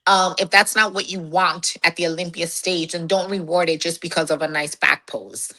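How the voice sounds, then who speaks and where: Woman, raspy, bright, blunt, laughs a lot, casual interview talk. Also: lowish voice, moderate pace. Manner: blunt, laughs often, animated. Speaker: fitness competitor guest.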